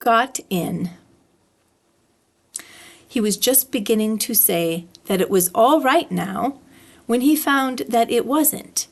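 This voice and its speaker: A woman reading a children's story aloud in an expressive, deliberate storytelling style. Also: a little high.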